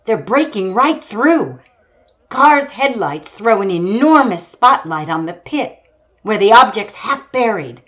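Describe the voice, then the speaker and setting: A woman's voice: medium pitch, big pitch swings, slow pace, a bit bright, muffled recording. A woman playing an earnest female radio news reporter in a 1930s-style broadcast drama, describing a scene with dramatic deliberation. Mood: urgent. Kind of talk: 1930s radio newscast acting.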